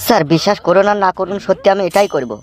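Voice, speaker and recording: A man's voice: high-pitched, steady tone, very fast, pitched above the performer's own voice, close to an everyday voice. A man voicing a meek young accused man who pleads with the police in a cartoon. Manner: submissive, pleading.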